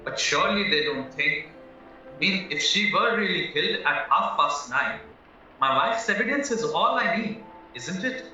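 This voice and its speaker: A man's voice: a little high, animated, moderate pace, bright, forceful tone. A man acting in an audio play as a naive, anxious young husband accused of murder, earnest and rambling as he protests. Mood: defensive. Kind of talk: stage-style audio drama acting. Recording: very echoey, muffled, distant, music underneath.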